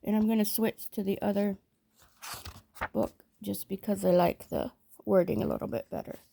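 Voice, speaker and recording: A woman reading a children's story aloud in a measured, expressive storytelling manner. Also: medium pitch, creaky. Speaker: storybook reader.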